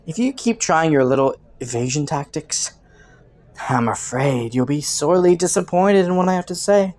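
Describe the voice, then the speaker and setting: A slightly low-pitched woman voice actor as a criminal from the underworld, flirting with and taunting a detective. The delivery is a playful, teasing drawl, in the style of an intimate roleplay audio drama. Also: expressive, moderate pace. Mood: teasing, intrigued. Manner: playful, teasing drawl with frequent soft chuckles.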